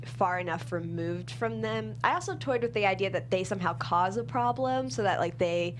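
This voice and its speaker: A woman's voice: medium pitch, moderate pace, a little hiss or hum. A woman talking casually as a guest writer in a panel chat, informal and emphatic, often repeating a phrase to stress it. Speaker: guest screenwriter.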